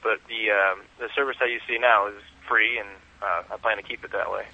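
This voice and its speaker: A male interview guest talks conversationally over a lo-fi phone connection. His voice is fairly low, yet very bright and forceful in tone. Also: steady tone, brisk. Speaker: interview guest, website founder. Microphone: telephone. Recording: dull lo-fi telephone call.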